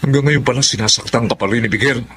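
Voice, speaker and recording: An actor playing a frank, protective older brother in a radio drama, probing and insistent, with background noise. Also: male voice, mid-range voice, fast talker, a bit bright, lightly acted. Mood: concerned, frank. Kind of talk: Filipino radio drama acting.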